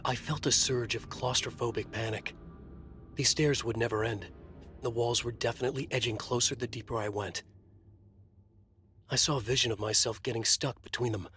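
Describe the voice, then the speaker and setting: An actor playing a haunted, trapped writer, narrating his nightmare in a moody, deliberate noir style for a video game. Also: mid-range voice, a bit bright, natural voice. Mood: brooding, uneasy.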